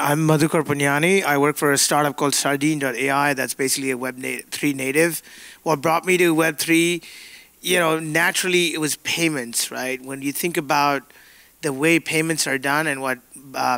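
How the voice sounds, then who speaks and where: Gravelly higher-voiced man, earnest panelist. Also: sharp tone, no echo. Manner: earnest, reflective, with rhetorical questions. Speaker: panelist at a startup. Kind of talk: conference panel talk. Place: conference stage.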